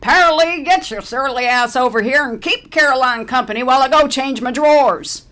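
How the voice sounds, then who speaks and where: A woman's voice: high voice, fairly quick, pushed and bright, voice pushed up. Woman voicing a brash, bawdy old lady. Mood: bossy, amused. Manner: bawdy, bossy.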